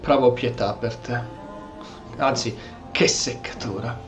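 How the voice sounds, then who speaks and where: A man's voice: mid-range voice, unhurried, music in the background. A man with an Italian accent giving casual commentary and role-playing along as he plays a video game. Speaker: gameplay streamer.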